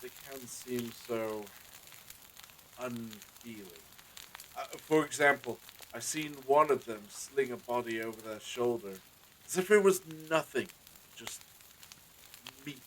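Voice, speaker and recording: A man's voice: mid-range voice, slow pace, long pauses, a little hiss or hum, lightly acted, low-quality recording. An actor playing an anxious, courteous village husband and father in a period audio drama, with hesitant, formal old-fashioned phrasing. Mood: troubled.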